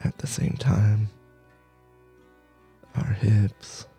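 A man leading a guided meditation, soft-spoken, in short halting phrases with long pauses. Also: on the low side, flat delivery, vocal fry, soft background music. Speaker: meditation teacher.

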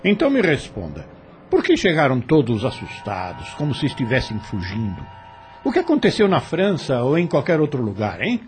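An actor playing an arrogant, menacing plantation overseer in a radio drama, sneering and domineering, with a husky voice. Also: male voice, mid-range voice, huge pitch swings, normal speed, faint music behind. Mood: accusing, insinuating.

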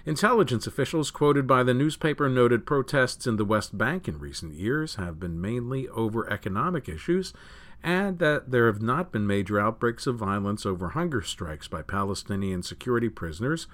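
A man with a fairly low voice reading news articles aloud as a news reader. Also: animated, normal speed, a bit bright.

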